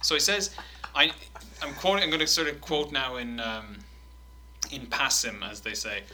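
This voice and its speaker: Bright-voiced man reading quotes. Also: medium pitch, moderate pace, slight background noise. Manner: animated, swearing, laughing while reading quotes. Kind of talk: casual chat, reading quotes aloud.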